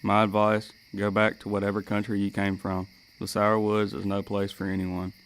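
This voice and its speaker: A young man playing a gruff, ominous, muttering shepherd in an amateur radio play. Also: fairly low pitch, moderate pace, natural voice. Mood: foreboding. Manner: flat, muttering.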